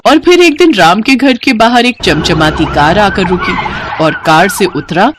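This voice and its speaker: A woman narrator tells a scripted village fable. Her delivery is animated, with lively swings in intonation at a medium pitch. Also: unhurried, background noise. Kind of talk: scripted moral-story narration.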